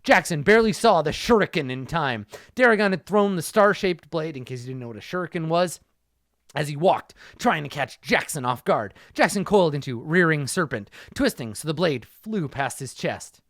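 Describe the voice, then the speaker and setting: A man reading an action story aloud, his voice lively and rising and falling with the fight scenes, breaking in with sarcastic little asides. The sound is dry and close to the mic. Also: a little high, moderate pace, no room sound. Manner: wry, sarcastic interjections.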